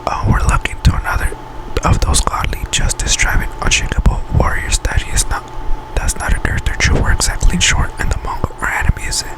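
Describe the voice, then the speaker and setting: A man whispers a list of facts in breathy, unvoiced ASMR style, often stumbling over and repeating his words as he reads. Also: poor audio. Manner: halting delivery, stumbles and repeats words while reading. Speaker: ASMR video creator and host.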